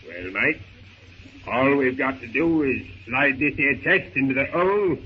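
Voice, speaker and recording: An actor playing a hard-bitten, domineering pirate leader in a 1930s radio serial. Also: male voice, medium pitch, lively intonation, a little slow, natural voice. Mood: gruff, scheming. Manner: gruff, domineering, slangy. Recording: muffled, noisy old radio recording.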